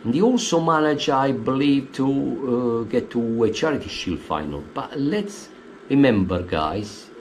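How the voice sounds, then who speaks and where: Italian-accented man, slow and hesitant. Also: a little hiss or hum. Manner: hesitant, many uh fillers, animated pitch swings. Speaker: football fan vlogger. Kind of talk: opinionated casual commentary. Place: living room at home, indoors.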